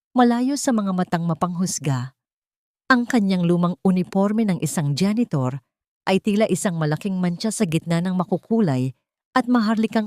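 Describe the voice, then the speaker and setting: A woman narrating a scripted dramatic story in a measured, solemn manner. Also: fairly low pitch, mellow, no room sound, studio quality.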